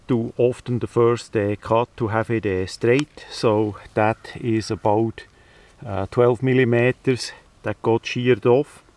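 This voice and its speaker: Slow, low-voiced man, non-native English speaker. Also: darkish tone. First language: not English. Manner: halting, pauses often mid-phrase. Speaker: presenter of a DIY radio antenna video. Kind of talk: casual hands-on demonstration talk. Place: outdoors in windy weather. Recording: outdoor camera audio with faint noise.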